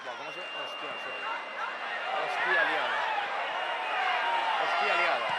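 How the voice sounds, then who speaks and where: Host, joking slangy match chat, high voice, roaring crowd around. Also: male voice, slow pace, very noisy. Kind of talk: casual match chat and interviewing. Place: football stadium stands. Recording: loud crowd and music behind.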